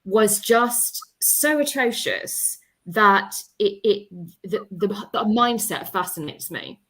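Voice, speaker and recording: A woman's voice: medium pitch, expressive, takes it slowly, a bit bright. A woman speaking as an author on a discussion panel, telling her experiences in a reflective, expansive, conversational way.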